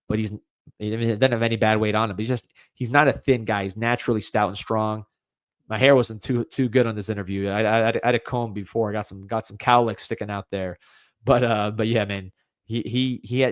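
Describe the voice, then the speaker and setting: A man's voice: lowish voice, no room sound. A young adult man with a light Cuban-American accent hosting a casual livestreamed sports podcast, rambling with restarts and a lot of "man.". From United States. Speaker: podcast host.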